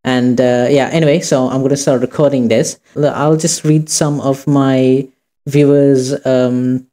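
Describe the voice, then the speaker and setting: A man with a soft, dark-toned voice at a middling pitch walks through an informal tutorial. He speaks casually and feels his way through the steps. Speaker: tutorial host. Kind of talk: informal screen-recorded tutorial.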